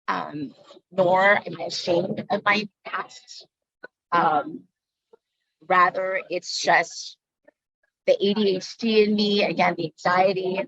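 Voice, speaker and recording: A woman from the Philippines, a yoga instructor, telling her personal story in a halting way with frequent pauses. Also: medium pitch, a bit bright, dry recording, highs cut off. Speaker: guest speaker, yoga instructor. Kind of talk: personal talk.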